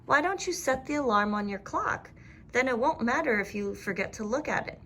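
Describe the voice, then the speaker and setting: Woman playing storybook rabbit, sensible, advising, friendly, higher pitch, animated. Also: fairly quick, slight background noise. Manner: helpful, advising.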